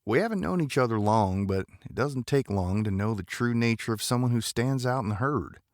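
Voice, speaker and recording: A man playing a gravelly, courtly space cowboy. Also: mid-range voice, lively intonation, brisk, no echo, studio quality, natural voice. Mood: solemn, tender. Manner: drawling folksy cowboy idiom, gentlemanly.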